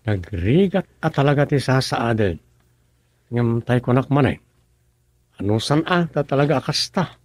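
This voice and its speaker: A man's voice: mid-range voice, lively intonation, normal speed, pauses often, no echo, studio quality, natural voice. An actor playing an earnest, put-upon younger village man in a rural comedy radio drama, plaintive and conversational. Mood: resigned.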